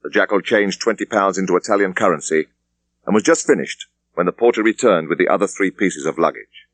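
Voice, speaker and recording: A man with an English accent reading a thriller audiobook in a measured, precise way. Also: deep voice, fairly bright tone, no room sound. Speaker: audiobook narrator. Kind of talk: scripted thriller narration.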